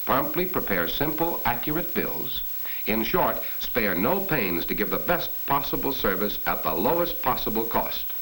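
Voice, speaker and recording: A man's voice: mid-range voice, fairly bright tone, low-quality recording. A man narrating an instructional film in earnest 1940s style, addressing the viewer directly as he teaches. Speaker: narrator of a corporate training film. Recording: hissy 1940s film soundtrack.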